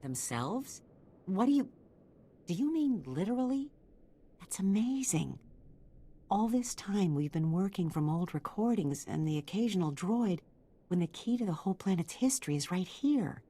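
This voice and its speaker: Lady doing earnest scientist from a video game, soft dark tone, mid pitch. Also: lively intonation, moderate pace, lightly acted. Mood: amazed, earnest. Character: earnest, amazed female researcher. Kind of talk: game character dialogue.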